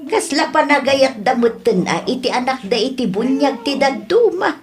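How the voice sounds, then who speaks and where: A woman playing a chatty, good-natured village wife and mother in a comedy radio drama, talking in an unhurried, conversational way. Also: high voice, animated, crowd noise. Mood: chatty, easygoing.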